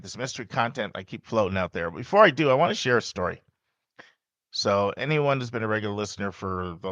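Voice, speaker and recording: A man hosting a podcast, speaking loudly and emphatically in a casual monologue with frequent asides to the listener. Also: lowish voice, no room sound. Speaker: podcast host and college admissions advisor.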